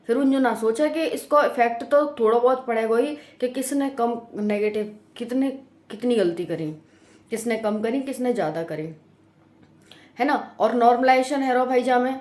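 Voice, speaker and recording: Female coach, western UP Braj accent, higher voice, informal and direct explainer style, recorded in a very echoey room. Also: a little mellow. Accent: Braj, western Uttar Pradesh. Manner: colloquial, direct, checks in with tag questions. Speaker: exam coaching YouTuber. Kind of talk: informal explanatory talk to students.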